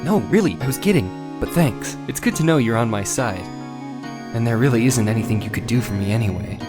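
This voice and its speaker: Woman as a very low-voiced young male lawyer. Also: expressive, brisk, over music. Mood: exasperated, kind. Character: earnest, wry young male defense lawyer. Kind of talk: video game fan dub with narration.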